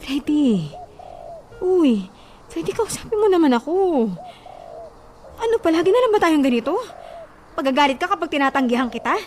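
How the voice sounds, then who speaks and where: High-pitched pleading young wife. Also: female voice, sing-song, moderate pace, pauses often, darkish tone, a little hiss or hum. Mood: hurt, pleading. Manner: pleading, emotional protests. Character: distressed, pleading young wife resisting her demanding husband. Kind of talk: radio drama acting.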